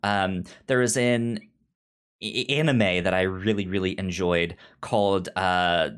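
A man co-hosting a casual discussion podcast, talking haltingly and often repeating sounds and words as he goes. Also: deep voice, fairly even intonation, clean tone. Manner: halting, frequent stammered repetition of sounds and words. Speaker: podcast co-host. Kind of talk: casual book discussion chat.

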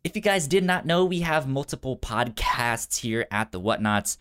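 A man co-hosting a casual film review podcast, speaking informally and haltingly, with a frequent stutter that repeats sounds and syllables. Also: mid-range voice, animated, a bit bright, smooth. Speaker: podcast co-host.

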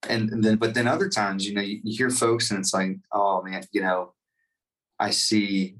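A man's voice: on the low side, flat delivery, normal speed, a bit bright, far from the mic, poor audio. A man, a touring singer, talking casually in an interview with many fillers and restarts. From United States.

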